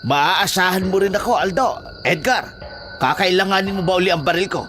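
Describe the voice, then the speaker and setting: A man's voice: high-pitched, brisk, a bit bright, voice pushed up. A man voicing an older village man, urgent and reproachful, in a horror audio drama. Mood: supportive. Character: scolding older village man, armed ally. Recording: background music under the voice.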